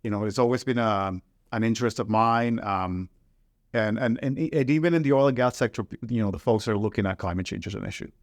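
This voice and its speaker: Earnest Ecuadorian man, lowish voice. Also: no room sound, clean studio sound. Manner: earnest, hesitant with repeated words. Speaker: guest, environment director. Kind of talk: casual interview conversation.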